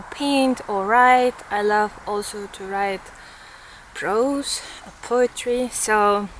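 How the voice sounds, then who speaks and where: Woman in her thirties, Ukrainian accent, slow earnest vlog talk, slightly high voice, outdoors with background noise. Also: low-quality recording. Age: 30s. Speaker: vlogger and artist. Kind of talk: casual reflective vlog talk to camera. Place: outdoors in a forest.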